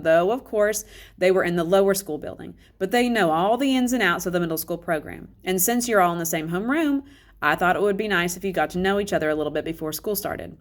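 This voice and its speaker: A woman's voice: on the low side, lightly acted. Storybook school director, adult man, upbeat and welcoming, chatty delivery, read by a woman. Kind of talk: children's novel read aloud.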